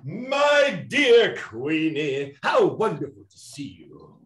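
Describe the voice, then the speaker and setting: An actor playing a slippery, grandiose gentleman crook and failed film director in a 1920s comedy radio play. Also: on the high side, very animated, takes it slowly, heavily acted. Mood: effusive surprise. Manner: grandiose, theatrical. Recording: roomy, echoing sound.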